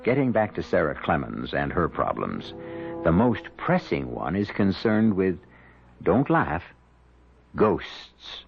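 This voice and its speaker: A man hosting and narrating a scripted radio drama with theatrical, deliberate phrasing. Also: low voice, huge pitch swings, vocal fry. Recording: dull vintage radio recording. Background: music.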